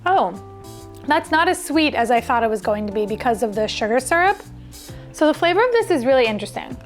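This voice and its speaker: Female food vlog host, high voice, exclamatory and conversational, music underneath. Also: very animated, fairly quick. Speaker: cooking show host. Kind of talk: casual food vlog chat. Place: indoor kitchen.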